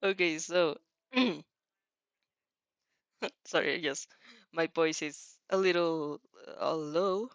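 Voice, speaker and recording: Woman reading fanfic out loud, giggly n hesitant, keeps correcting herself, kinda dull dry lo-fi mic. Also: low-pitched, drawn-out words, pauses often, no echo. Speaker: host of fanfiction reading show. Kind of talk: casual chat and fanfiction reading aloud.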